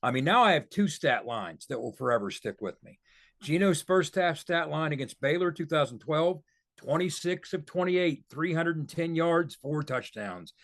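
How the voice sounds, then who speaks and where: A man's voice: fairly high pitch, lively intonation, normal speed, a bit bright. A man giving conversational football analysis on a podcast, making his points emphatically.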